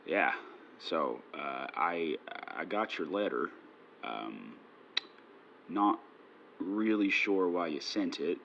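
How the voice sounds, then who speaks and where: A deep, gravel-voiced father in an audio drama. He is evasive and cold, halting and slow, heard over a muffled, slightly noisy phone line. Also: big pitch swings, fairly bright tone, heavily acted. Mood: evasive, wary. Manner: halting, hesitant. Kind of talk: naturalistic radio play acting.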